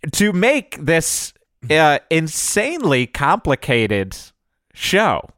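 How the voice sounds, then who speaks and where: A man's voice: a little high, wildly swooping pitch, takes it slowly, a bit bright, no room sound. Bantering podcast co-host man, dry sound. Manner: laughing, self-mocking banter. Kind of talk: comedic casual chat.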